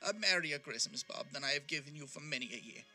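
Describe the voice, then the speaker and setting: An actor playing an exuberant, grateful old reformed miser in an audio drama, theatrical and effusive with formal old-fashioned phrasing. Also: male voice, a little high, lively intonation, fast, pushed and bright, faint music behind. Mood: mock stern, merry. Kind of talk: audio stage drama acting.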